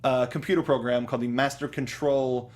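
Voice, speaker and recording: A man in the middle of his natural pitch range, co-hosting a relaxed chat. He talks eagerly and rambles, restarting sentences and filling pauses with "um" and "uh.". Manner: enthusiastic, rambling with fillers and restarts. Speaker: podcast co-host. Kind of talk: casual chat.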